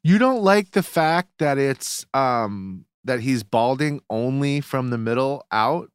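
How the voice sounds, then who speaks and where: A man riffing with his co-host in loose, playful banter. His voice sits at an ordinary pitch for a man. It is recorded close and dry, with no room sound. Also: animated, clear, resonant tone, dry recording. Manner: joking, quick, playful. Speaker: podcast co-host. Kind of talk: casual banter.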